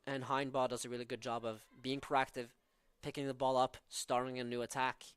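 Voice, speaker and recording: A man's voice: medium pitch, flat delivery, a little fast, fairly bright tone, smooth, no room sound. A young man from southern Norway with a Norwegian accent, calling live college soccer with tactical analysis and frequent fillers. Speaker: play-by-play commentator.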